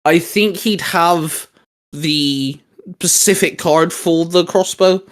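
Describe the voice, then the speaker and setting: A man's voice: a little high, slow pace, fairly bright tone. A man hosting a casual, geeky hobby chat, rambling along with plenty of "you know". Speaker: host of a hobby discussion show.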